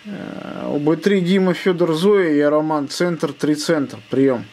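A man chats casually as a ham radio operator. His voice sits a little high, and he spells call signs word by word. Faint noise runs under the recording. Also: takes it slowly, darkish tone. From Russia.

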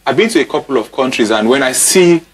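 A man's voice: medium pitch, animated, quick pace. A Nigerian-accented man in his thirties interviewing in a conversational way, repeating words as he frames his thoughts. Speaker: TV interviewer, host. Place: indoor TV studio.

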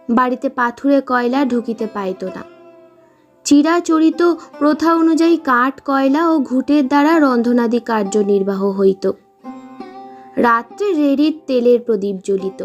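A woman reading literary fiction aloud in a measured, expressive storytelling manner. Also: high-pitched, highs cut off. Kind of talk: scripted literary narration.

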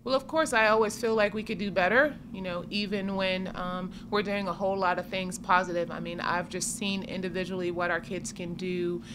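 A woman in her forties, a head coach, answering postgame questions in a measured, steady way at a table mic in a press room. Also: fairly low pitch. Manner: measured, steady, many "I mean" and "you know". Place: press conference room with sponsor backdrop. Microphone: table mic in front of her. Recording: noisy room ambience.

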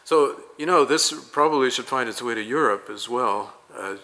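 A man interviewing a guest for a promotional video, asking polished, leading questions at a measured pace. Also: medium pitch, bright, forceful tone, rough recording.

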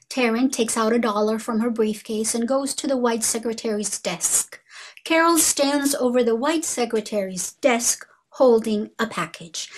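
Higher-voiced woman reading stage directions. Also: poor audio. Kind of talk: scripted narration.

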